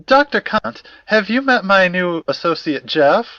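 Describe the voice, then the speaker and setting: A man's voice: sits high, big pitch swings, unhurried, sharp tone, no room sound, muffled recording, full character voice. A man playing a formal, fussy academic in an audio drama, precise and wordy, with old-fashioned politeness. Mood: eager, courteous. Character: formal, fussy, courteous male academic investigator.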